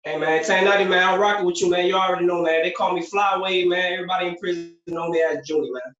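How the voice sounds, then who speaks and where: A young man from Puerto Rico talks fast and loose, slightly high-voiced, full of street slang and filler. He sounds set back from the mic in an echoey room, with a dull, lo-fi recording. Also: steady tone, a bit bright. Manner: streetwise, loose, fills with "you know what I'm saying". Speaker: guest, former prisoner. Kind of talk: casual interview storytelling. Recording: roomy, distant, dull lo-fi sound.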